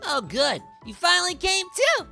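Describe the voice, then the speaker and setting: A woman reads a casual young visual novel protagonist, kindly and expressive. The voice swoops widely and has a bright edge with plenty of creak. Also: very high voice, normal speed, soft background music, pitched above the performer's own voice, exaggerated voice. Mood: relieved, kindly. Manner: expressive, conversational character reading.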